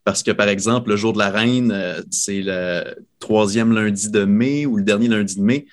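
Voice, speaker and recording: A man speaking as a panelist on a radio discussion, calm and explanatory. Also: lowish voice, normal speed.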